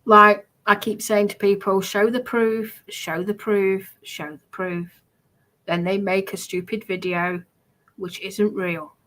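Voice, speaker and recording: Slow-talking, defensive woman. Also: medium pitch, little pitch movement, bright, forceful tone. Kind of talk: casual defensive chat.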